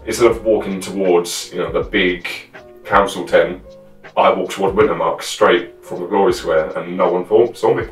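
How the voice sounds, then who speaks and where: A young man with a low voice recounts things casually and haltingly. He sits a little away from the microphone in a roomy space. Faint music plays underneath. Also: fairly even intonation, distant mic. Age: 20s. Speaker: guest, fellow live roleplayer. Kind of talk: casual chat, recounting a scene. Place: small room at home with costumes.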